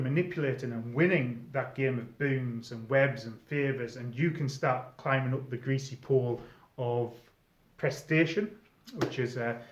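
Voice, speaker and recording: A middle-aged man giving informal advice to viewers in a conversational, emphatic way, often pausing mid-sentence. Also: medium pitch. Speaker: experienced role player giving advice to newcomers. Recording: echoey room, slightly dull sound.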